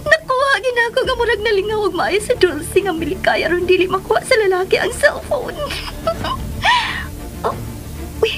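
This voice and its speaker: A woman's voice: very high-pitched, expressive, moderate pace. A woman acting in a radio drama as a scheming, gleeful spy who mutters and exclaims to herself in a melodramatic way. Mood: gloating. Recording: studio radio drama over music.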